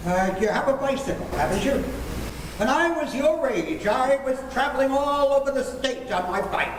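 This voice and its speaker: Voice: high-pitched, expressive, normal speed. An actor playing a stern, exasperated family father in an old-time radio comedy, speaking in a pompous, formal, emphatic way. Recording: echoey stage recording with noticeable noise.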